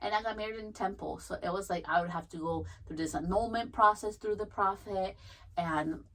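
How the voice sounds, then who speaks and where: A woman's voice: mid-range voice, a little fast, a bit bright, distant mic, low-quality recording. A woman hosting a podcast, telling her own life story in a frank, chatty way, swearing freely and filling her talk with "you know" and "like". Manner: frank and profane, chatty with many fillers. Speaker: podcast host. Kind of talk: candid personal storytelling.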